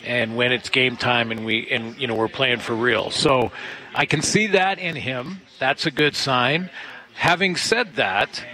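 A man's voice: mid-range voice, measured pace, sharp tone. A man co-hosting a sports talk show, a former player breaking down the mental side of kicking with confidence and easy laughs. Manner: laughs often, confident, analytical.

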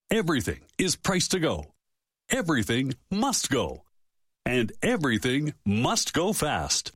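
A man's voice: fairly high pitch, a bit bright, husky, no echo, clean studio sound. A man reading a scripted radio ad with a punchy, emphatic delivery. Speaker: ad announcer.

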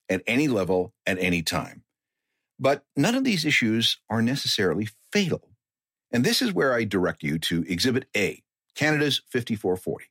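A man's voice: medium pitch, fairly bright tone, breathy edge, no room sound, studio quality. A man hosting a music-history radio show, narrating in a conversational, wry way. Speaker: radio host.

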